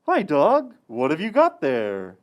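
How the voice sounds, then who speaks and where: Animated, kindly animal puppet played by an actor. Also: medium pitch, slow pace. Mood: friendly, concerned. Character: kindly, caring animal friend of the forest. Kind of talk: children's puppet show acting.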